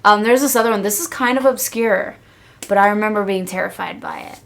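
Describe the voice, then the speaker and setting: Woman podcast host, gravelly fry in her voice, casual slangy chat. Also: mid-range voice, a little fast, a bit bright. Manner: informal, slangy storytelling.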